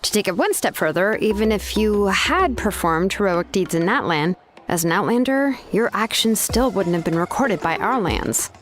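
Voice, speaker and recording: An actress playing a young master craftswoman in a video game, blunt and pragmatic, speaking with measured, hesitant exasperation. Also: mid-range voice, expressive, close to an everyday voice. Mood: explanatory, grave. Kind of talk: video game character dialogue. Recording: music behind the voice.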